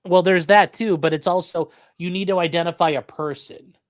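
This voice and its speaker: A man's voice: high-pitched, steady tone, moderate pace, no echo, studio quality. A man hosting a live stream, reading out viewer questions and giving casual legal commentary with wry, joking asides.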